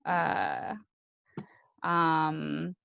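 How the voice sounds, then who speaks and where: A woman in her thirties from the United States, a Spanish student, introducing herself hesitantly with many pauses on a video call. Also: low voice, lively intonation, creaky, smooth, dry recording, muffled recording. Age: 30s. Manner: hesitant, many ums while trying out Spanish. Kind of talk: casual video call chat.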